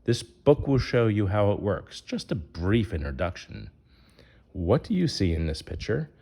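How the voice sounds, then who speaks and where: A man's voice: fairly low pitch, very animated, measured pace, vocal fry, warm tone. A man reading a rhyming children's picture book aloud in a sing-song way, putting questions to young listeners.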